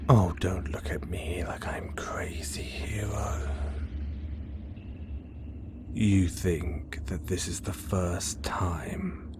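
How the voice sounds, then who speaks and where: A man's voice: fairly even intonation, very slow, pauses often. A young man playing a possessive, theatrical supervillain in a whispered audio roleplay, speaking in an intimate, teasing way. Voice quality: low gravelly whisper.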